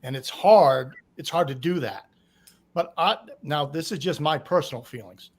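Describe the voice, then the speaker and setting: A man's voice: on the high side, normal speed. A man with a Boston accent speaking as a panel guest, offering his personal take in a casual discussion. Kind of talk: casual opinion commentary.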